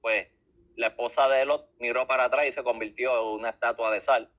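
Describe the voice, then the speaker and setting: A man's voice: mid-range voice, fairly even intonation, fairly quick, fairly bright tone. A man hosting a religious interview, talking conversationally with some preaching. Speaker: host of religious interview show.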